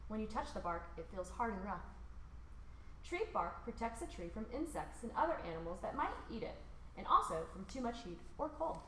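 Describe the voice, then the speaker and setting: Female, American, children's read-along host. Lively pitch movement, informal delivery, recorded in a roomy echo. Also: a little fast. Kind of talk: picture book read aloud for children. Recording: roomy echo, faint background noise.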